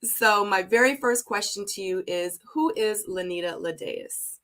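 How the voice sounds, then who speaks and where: A woman's voice: mid-range voice, measured pace, a bit bright, a little hiss or hum. A woman hosting a live online interview, chatty and friendly, calling her guest honey. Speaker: host.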